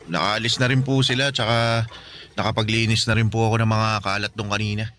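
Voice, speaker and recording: An actor playing a polite, devoted teenage son in a Filipino radio drama, speaking respectfully, earnestly and with some hesitation. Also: male voice, lowish voice, steady tone, fairly quick, sharp tone, a little hiss or hum. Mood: eager to help. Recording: music bed under the voice.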